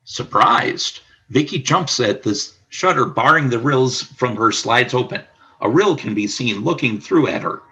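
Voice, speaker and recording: A man's voice: medium pitch, animated, a little slow, pushed and bright, rough recording. A man reading scripted stage directions as the narrator of a radio play.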